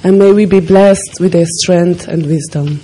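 A woman who grew up in Portugal giving a talk at a conference, with a gentle and slightly nervous manner. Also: fairly low pitch, steady tone, a little slow, soft background music. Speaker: conference speaker. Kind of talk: speech at a conference.

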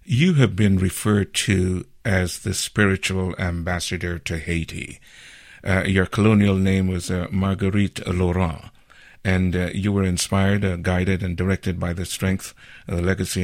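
A man with a fairly low voice hosts a radio talk show. He introduces his guest and asks questions at an unhurried, measured pace, filling his pauses with "uh" along the way. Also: little pitch movement. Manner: measured, with frequent "uh" fillers. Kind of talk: radio interview.

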